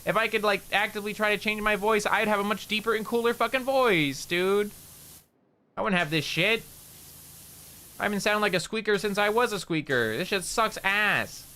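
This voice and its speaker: A man's voice: sits high, moderate pace, sharp tone. A young man from Canada with a slight rural Canadian accent and a nasal voice, chatting casually and rambling while streaming a game, swearing often and mocking himself. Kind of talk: casual gaming stream chat.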